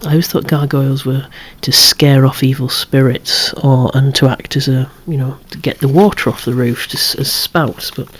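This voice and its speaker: Hushed, breathy ASMR whisperer. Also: medium pitch, steady tone. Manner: hushed, unhurried, musing with wry asides. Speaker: toy collector presenting a collection. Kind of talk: whispered ASMR show-and-tell.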